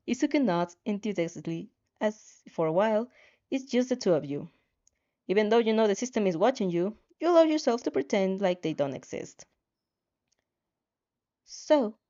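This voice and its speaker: A woman's voice: mid-range voice, dark tone, lo-fi sound. A woman narrating a second-person story expressively, in an informal manner with stumbles over the lines. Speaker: fan fiction reader.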